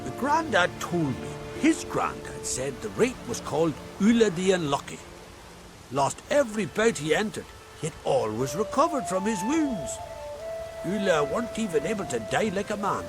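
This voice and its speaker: An actor playing a blunt, coarse, excitable male villager in a video game, with a rough, emphatic way of talking. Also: sits high, normal speed, over loud music. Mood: animated. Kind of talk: video game cutscene acting.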